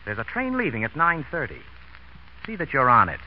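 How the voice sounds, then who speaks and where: Fast, swooping, smug gentleman. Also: medium pitch, wildly swooping pitch. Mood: smugly curt. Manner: condescending, clipped. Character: smug, condescending gentleman employer, coolly curt. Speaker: actor. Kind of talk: 1930s-40s radio comedy acting. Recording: muffled, hissy old radio recording.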